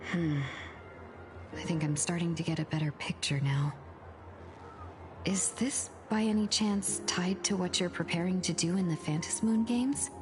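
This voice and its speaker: A woman's voice: lowish voice, a little slow, noisy background, close to an everyday voice. A woman playing a thoughtful, inquisitive video game character who speaks with care, music behind her. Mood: pondering.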